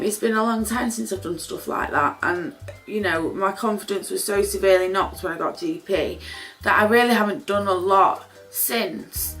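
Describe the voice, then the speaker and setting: A woman's voice: fairly low pitch, fairly bright tone. Chatty British woman, casual and rambling. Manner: rambling, self-deprecating, informal. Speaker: vlogger. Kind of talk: casual unscripted chatty get-ready-with-me.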